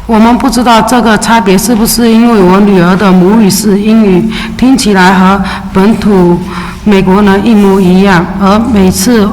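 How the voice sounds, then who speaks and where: A Chinese immigrant woman reads her testimony at a steady, measured pace, pausing now and then. Her voice carries the long reverb of a big public chamber, with a faint ambient hum underneath. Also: medium pitch. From China. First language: Mandarin. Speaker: witness testifying at a city council hearing. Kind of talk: read testimony in Mandarin. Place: large public hearing chamber. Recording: echoey hearing room with faint ambience.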